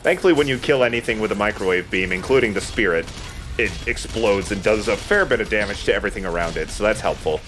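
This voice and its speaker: A man's voice: on the low side, quick pace, fairly bright tone, faint music behind. A man giving casual, explanatory commentary on a video game in a conversational way. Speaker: let's play gamer and commentator.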